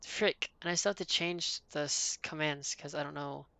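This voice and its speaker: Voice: medium pitch, steady tone, moderate pace, creaky, sharp tone, dry recording. A streamer chatting casually and thinking aloud while setting up a live stream.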